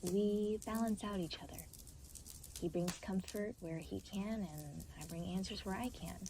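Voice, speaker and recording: Woman acting aloof demon guide, dark relaxed tone, dry and reluctant, audio roleplay, slight background noise. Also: mid-range voice, measured pace, lightly acted, low-quality recording. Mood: guarded concern. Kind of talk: intimate scripted audio roleplay spoken to the listener.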